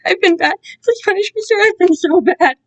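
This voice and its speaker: Female reader as childlike male captive, high voice, tearful, begging, broken, audio fiction drama. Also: fairly quick. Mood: pleading, sobbing. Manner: wailing, pleading.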